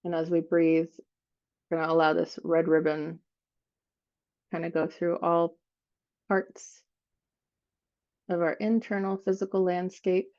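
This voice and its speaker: A woman leading an improvised guided meditation, speaking in gentle, unhurried phrases with frequent pauses. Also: lowish voice, flat delivery, dull audio.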